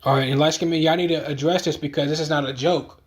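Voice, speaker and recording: A man with a somewhat high-pitched voice gives online commentary. He sounds earnest and insistent as he lays out his opinions to the viewers. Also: steady tone, quick pace.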